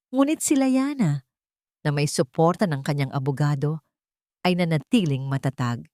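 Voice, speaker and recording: A woman's voice: on the low side, a little mellow, no room sound, studio quality. A woman narrating a scripted dramatic story as a storyteller.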